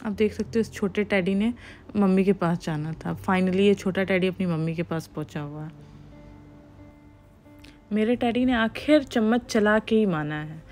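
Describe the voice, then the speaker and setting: A woman's voice: medium pitch, moderate pace, darkish tone. A woman in her thirties narrating her family's camping trip as a vlog voice-over, chatty and mixing in English phrases. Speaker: vlogger. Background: faint music under the narration.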